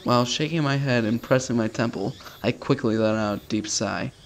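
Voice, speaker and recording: Gravelly man reading a visual novel. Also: mid-range voice, moderate pace, a little hiss or hum. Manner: self-deprecating, sweary, stumbling over words. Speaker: gaming video host. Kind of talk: casual visual novel read-aloud with offhand commentary.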